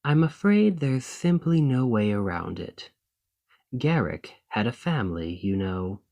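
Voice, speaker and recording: A man's voice: on the low side, a little mellow, heavily acted. A man playing an ambitious foreign noble in a fantasy audio roleplay, speaking in a formal, composed, courteous and persuasive manner. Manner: formal, measured, deliberate, condescendingly sympathetic. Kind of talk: scripted fantasy ASMR roleplay monologue.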